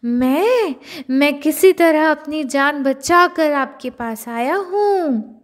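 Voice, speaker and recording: Woman playing tiny clever rabbit, very high voice, humble, pleading, slow, children's storybook. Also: animated.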